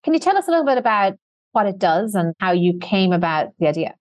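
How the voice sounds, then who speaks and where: A woman's voice: mid-range voice, very animated, fast, no room sound. Composed woman podcast host, dry sound. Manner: polished and composed. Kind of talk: scripted podcast introduction and interview questions.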